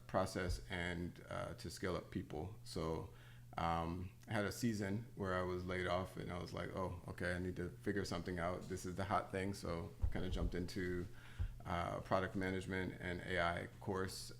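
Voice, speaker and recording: A man, a pastor and tech strategist as a podcast guest, explaining in a steady, measured way. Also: low voice, gravelly, slight background noise. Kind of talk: interview answer.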